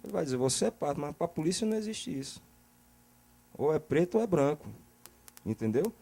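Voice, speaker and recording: A man's voice: fairly high pitch, very animated, fairly quick, mellow. A man with a Brazilian accent giving casual, opinionated talk as an interview guest, colloquial and often checking he is understood. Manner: colloquial, repeats words, often checks the listener follows.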